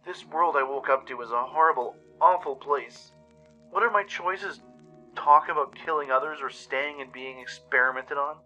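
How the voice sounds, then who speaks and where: Casting: man as young pony survivor, raised pitch, very bright and tense, anxious, earnest radio play delivery. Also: normal speed, highs cut off, faint music behind. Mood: despairing. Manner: anxious, dramatic, questioning. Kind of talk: first-person audio drama narration.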